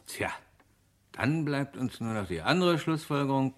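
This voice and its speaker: A man's voice: medium pitch, animated, unhurried, natural voice. Man as composed, probing police inspector. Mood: grave, probing. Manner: polite, methodical, authoritative. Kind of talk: German radio crime play acting.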